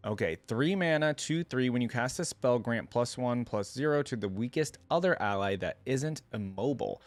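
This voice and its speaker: A man hosting a reaction video, talking casually as he thinks out loud and reads text from the screen. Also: mid-range voice, lively intonation, normal speed, dry recording.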